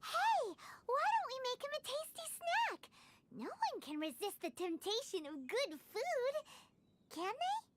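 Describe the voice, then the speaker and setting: An actress voicing a tiny, childlike fairy companion in a game, bubbly and quick. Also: female voice, extremely high pitch, wildly swooping pitch, background noise, heavily acted. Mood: excited. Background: game music.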